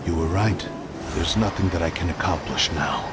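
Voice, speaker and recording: An actor playing the remorseful, proud spirit of an ancient blade in a video game, speaking in solemn, formal, archaic phrases. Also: male voice, sits low, normal speed, very noisy, natural voice. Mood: resolute, solemn. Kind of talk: dramatic video game voice acting. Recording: loud music under the voice.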